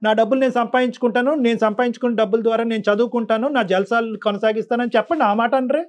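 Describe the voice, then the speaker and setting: High-pitched, fast-talking man, earnest and admonishing. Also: fairly even intonation. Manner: earnest, admonishing, emphatic with repetition. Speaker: show host and counsellor. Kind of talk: phone-in counselling talk.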